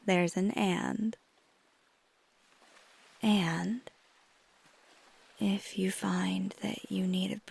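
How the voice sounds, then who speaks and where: A woman playing a caring, flirty female vampire lover in an intimate ASMR roleplay, speaking tenderly and reassuringly in a breathy, husky half-whisper. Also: lowish voice, darkish tone, exaggerated voice. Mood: earnest, caring. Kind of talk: ASMR roleplay, intimate one-sided dialogue.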